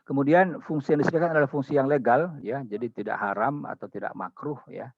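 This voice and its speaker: A man's voice: mid-range voice, fairly quick, husky, no room sound, lo-fi sound. A man with an Indonesian accent giving an explanatory lecture as a guest speaker, in a didactic way, often punctuating his points with short check-in tags. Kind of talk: explanatory lecture on religious law.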